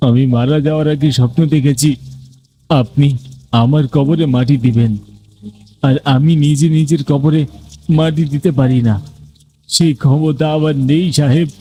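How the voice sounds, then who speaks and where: A man's voice: fairly high pitch, steady tone, moderate pace, pauses often, dark tone, natural voice, rough recording. A man voicing the solemn ghost of a middle-aged trader, pleading earnestly and deferentially in a cartoon horror story.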